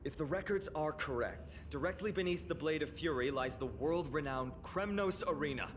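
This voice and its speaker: An actor voicing a confident young male companion in a video game, speaking calmly and assuredly. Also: on the high side, moderate pace, slight background noise, lightly acted.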